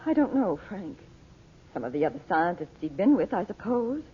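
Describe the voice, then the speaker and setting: A woman's voice: lowish voice, big pitch swings, moderate pace, breathy edge. An actress in an old radio drama playing a worried, earnest mother. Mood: troubled. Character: Dot, worried, earnest mother in an adventure serial. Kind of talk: 1940s radio drama acting. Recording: muffled, phone-like old radio broadcast recording.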